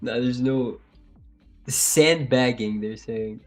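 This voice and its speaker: A man from Algeria whose first language is French, co-hosting a live stream in relaxed, simple wording. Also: mid-range voice, slow pace. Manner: relaxed, plain wording, restarts. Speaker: trading stream co-host. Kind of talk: casual live-stream chat. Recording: faint background music.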